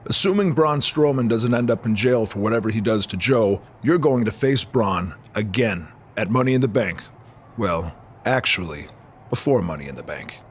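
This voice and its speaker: An actor playing a stern, scheming wrestling general manager in a video game, dry and matter-of-fact. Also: male voice, fairly low pitch, lively intonation, normal speed, natural voice. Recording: faint background noise.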